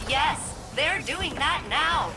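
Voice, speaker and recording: Clever female technician, wry, matter-of-fact, slightly high voice, game drama, loud music behind. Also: lively intonation, drawn-out words, exaggerated voice. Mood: focused, wry. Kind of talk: video game acting.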